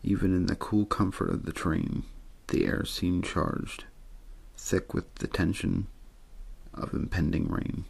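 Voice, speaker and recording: A man softly reading a story in ASMR style, unhurried and untheatrical, with a husky, gravelly voice. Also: deep voice, fairly even intonation. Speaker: host and reader of an audio ASMR show.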